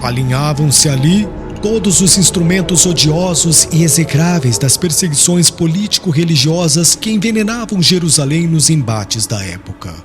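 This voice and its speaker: A man's voice: a little high, lively intonation, moderate pace, few pauses. A man narrating an audiobook in a formal, solemn, dramatic storytelling style. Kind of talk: dramatic scripted narration of religious historical novel. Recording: music clearly behind the voice.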